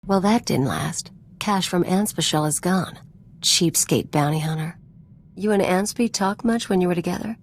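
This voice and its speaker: A woman's voice: lowish voice, moderate pace. An actress voicing a cynical, streetwise mercenary woman in a video game, flippant and taunting in clipped, slangy phrases. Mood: sly, flippant. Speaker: companion character in a video game. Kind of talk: video game voice acting, casual dialogue. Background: music.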